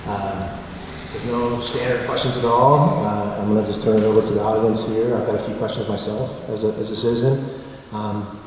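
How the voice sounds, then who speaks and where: A man hosting a community forum, talking in a low voice with many fillers and restarts, using a handheld mic in a large wood-paneled hall. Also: fairly even intonation, moderate pace, a little hiss or hum. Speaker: forum host. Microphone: handheld mic, heard from a far camera. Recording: echoey hall from far off.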